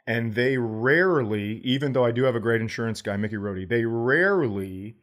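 A man's voice: medium pitch, normal speed, fairly bright tone. An American man hosting a podcast in casual comedic chat, profane and playfully argumentative. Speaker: podcast host.

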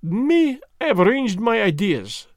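A man's voice: high voice, big pitch swings, unhurried, no echo, clean studio sound, voice pushed up, heavily acted. A man reading the part of a fussy, vain elderly Belgian detective who speaks precisely and drops French words. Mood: placid reasoning.

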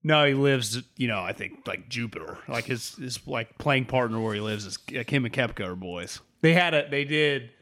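A young man chats on a sports podcast, his voice sitting at an ordinary middle pitch. He rambles enthusiastically, piling on "like" and restarting sentences as he goes. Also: animated, moderate pace, few pauses, a bit bright, a little hiss or hum. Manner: rambling, filler-heavy, enthusiastic. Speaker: podcast co-host. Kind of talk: casual sports chat.

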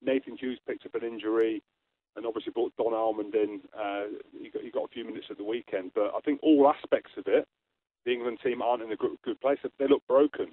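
A man's voice: mid-range voice, fairly even intonation, fairly quick. A man with a Scottish accent, a rugby pundit guest giving blunt, forthright opinions in a radio interview. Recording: tinny phone line.

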